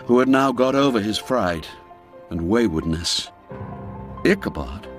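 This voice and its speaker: Slow, theatrical male storyteller over music. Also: medium pitch. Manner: measured, theatrical, suspenseful delivery. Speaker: audiobook narrator. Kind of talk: dramatic scripted story narration.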